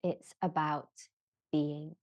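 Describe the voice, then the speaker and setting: Very slow, low-voiced woman guiding relaxation. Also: little pitch movement, no echo, muffled recording. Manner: measured, gentle, with long pauses between short phrases. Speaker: yoga nidra guide. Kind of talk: slow guided relaxation meditation.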